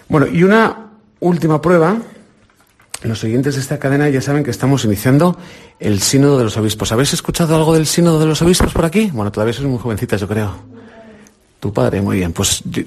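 Man, Spain Spanish accent, radio presenter, encouraging, rapid questions, husky voice. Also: mid-range voice, darkish tone. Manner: encouraging, quizzing with quick questions. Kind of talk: quiz with children on air. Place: indoor visit with a children's group.

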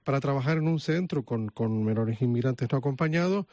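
A male radio host, interviewing in a relaxed, friendly way. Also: medium pitch, fairly quick, no room sound.

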